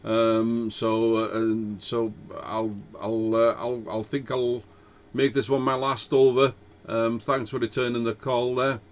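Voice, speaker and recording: A man's voice: medium pitch, flat delivery, slow. A man chatting as an amateur radio operator in a hesitant, courteous way, speaking into a cheap dynamic microphone over a radio link. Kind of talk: casual ham radio contact. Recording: dull lo-fi radio link audio.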